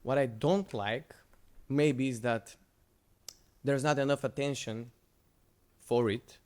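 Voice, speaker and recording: Male presenter, English, relaxed, conversational, stumbles and restarts mid-sentence. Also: medium pitch, slow. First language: Bulgarian. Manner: loose, conversational, with frequent restarts. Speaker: conference speaker. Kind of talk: conference presentation with Q&A.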